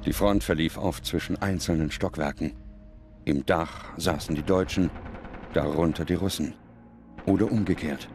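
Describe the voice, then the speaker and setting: A man delivering grave, measured scripted narration as a documentary narrator. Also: low-pitched, steady tone, music in the background.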